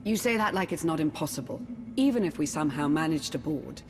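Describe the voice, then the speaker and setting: A woman playing a cautious, skeptical adviser in a video game cutscene, formal and measured. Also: deep voice, animated, noisy background.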